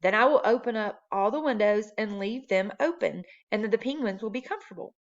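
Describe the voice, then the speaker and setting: A woman's voice: medium pitch, animated, brisk, lightly acted. A cheerful storybook husband, voiced by a woman reader, stubbornly optimistic and eager to reassure. The recording is a little dull and muffled. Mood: reassuring.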